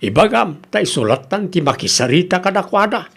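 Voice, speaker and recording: A man acts out an Ilocano family man in a comedy radio drama. His pitch sits high for a man and swings widely, with creak running through his exclamatory delivery. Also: very animated, normal speed, a bit bright, heavily acted. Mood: gossipy, indignant. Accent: Ilocano Filipino.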